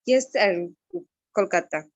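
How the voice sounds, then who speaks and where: A woman's voice: mid-range voice, takes it slowly, no echo, highs cut off. A woman from West Bengal with an Indian accent giving a short, polite thank-you speech on a video call. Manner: polite, formal, repeats thanks.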